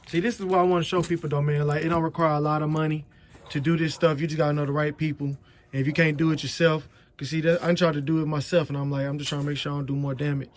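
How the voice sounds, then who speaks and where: Higher-voiced American man, slangy vlogger. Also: flat delivery, racing. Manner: slangy, street-talk delivery. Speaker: vlog host. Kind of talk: casual chat. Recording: clearly noisy background.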